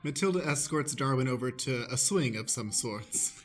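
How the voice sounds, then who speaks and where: A man narrating stage directions for an improvised radio play in a deadpan style. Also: medium pitch, moderate pace. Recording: music behind the voice.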